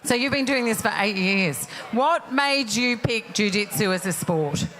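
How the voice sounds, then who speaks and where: A woman's voice: medium pitch, expressive, a little slow, a bit bright, a little hiss or hum, poor audio. A woman with an Australian accent, informally hosting a live awards night and chatting with guests over a microphone in a function room. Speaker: event host.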